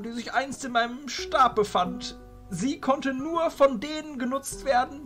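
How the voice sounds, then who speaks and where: A man playing an old, courteous illusionist arch-chancellor with a ceremonious, deliberate manner in a fantasy audio drama. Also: very high-pitched, bright, forceful tone, heavily acted.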